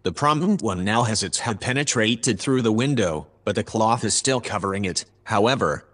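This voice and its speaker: A composed artificial assistant played by a man in a sci-fi audio drama. The voice is fairly low and formal, reporting everything in measured, factual sentences. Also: fairly bright tone. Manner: formal, measured, matter-of-fact. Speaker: voice actor.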